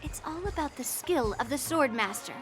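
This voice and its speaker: Brash young swordswoman, anime game: high, unhurried, competitive, music underneath. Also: animated. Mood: proud. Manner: blunt, cocky.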